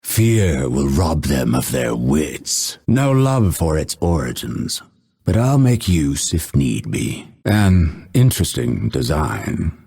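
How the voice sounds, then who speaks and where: An actor playing an unveiled assassin in a video game, hunted since birth, gravelly and husky, speaking with measured menace and dry, bitter spite. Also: male voice, rumbling low, sing-song, mellow, exaggerated voice. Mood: cold, spiteful. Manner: measured, menacing, dryly sardonic. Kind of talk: video game character voice lines.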